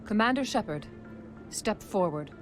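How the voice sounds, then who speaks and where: A woman acting a calm, dignified alien councilor in a video game, speaking in a formal, measured way. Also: mid-range voice, soft background music, natural voice. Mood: formal, solemn.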